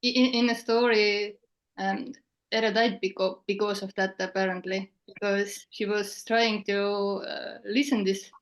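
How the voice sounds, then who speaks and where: A woman's voice: medium pitch, steady tone, unhurried, rough recording. Estonian woman musing in casual chat. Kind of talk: casual group video chat.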